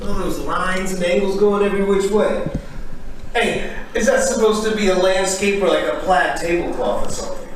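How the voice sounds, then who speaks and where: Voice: mid-range voice, moderate pace, noisy background, natural voice. An actor playing a brash, skeptical police sergeant in a period stage drama, blunt, impatient and scoffing. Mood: mocking. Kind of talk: radio-style stage drama.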